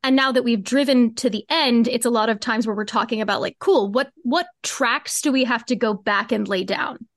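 High-voiced woman explaining her creative craft. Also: moderate pace, few pauses, dry recording, clean studio sound. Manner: explanatory, informal, peppered with "like" and "cool". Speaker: podcast guest, audio drama co-creator. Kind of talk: casual interview conversation about craft.

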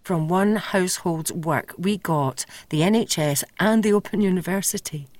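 A woman's voice: medium pitch, a little mellow, dry recording. A woman giving scripted commentary as a contributor to a documentary.

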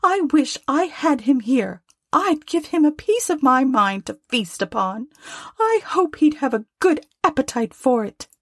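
A woman voicing an indignant, sharp-tongued wife and mother in a storybook, with emphatic, scornful scolding. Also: high-pitched, big pitch swings, measured pace, no echo, clean studio sound, pitched above the performer's own voice, full character voice.

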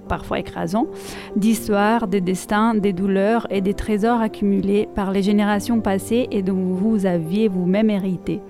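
Italian-accented woman, measured reflective reader. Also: medium pitch. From Italy. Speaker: podcast columnist. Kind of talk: reading aloud and commentary.

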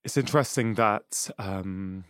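A man's voice: fairly low pitch, a little slow, clean tone, no echo, clean studio sound. A man from England with a Manchester accent, hosting a podcast interview in a thoughtful, earnest way. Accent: Mancunian English. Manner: thoughtful, earnest, frequent "you know". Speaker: podcast host. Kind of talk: reflective interview conversation.

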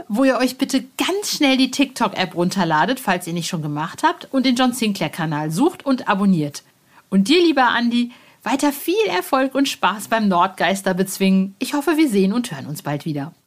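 A woman's voice: mid-range voice, very animated, moderate pace. A woman hosting an interview podcast, talking in an informal and effusive way.

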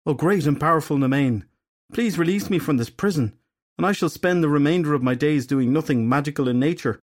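A man voicing a humbled dark magician who pleads earnestly. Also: fairly high pitch, brisk, voice pushed up, lightly acted. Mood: pleading.

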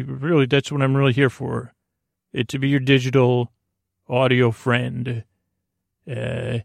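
A man hosting a sleep podcast with a meandering, halting bedtime monologue, gently whimsical and reassuring, often drifting into tangents. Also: medium pitch, gravelly, no room sound, studio quality.

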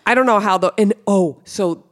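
A woman hosting a podcast, telling a story in a blunt, bawdy, animated way, congested from a cold. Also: mid-range voice, moderate pace. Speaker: podcast host. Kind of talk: casual chat.

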